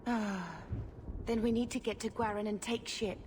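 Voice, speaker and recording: A woman's voice: a little high, steady tone, moderate pace, noisy background, natural voice. A young woman playing an earnest, anxious sister fleeing danger in a fantasy video game. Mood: worried. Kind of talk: video game cutscene acting.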